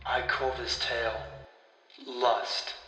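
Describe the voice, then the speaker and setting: A man narrating a scripted horror story as the storyteller, in a deliberate, dramatic way with pauses. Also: medium pitch, level tone, pushed and bright, a little hiss or hum. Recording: echoey, distant-sounding voice over music. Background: music.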